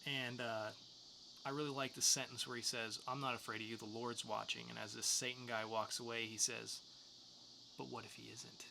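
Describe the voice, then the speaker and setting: Guy cohosting a podcast, bright punchy voice, jokey and casual, bit of background noise. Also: medium pitch, level tone, normal speed, gravelly. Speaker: podcast co-host. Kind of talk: news segment and comics review.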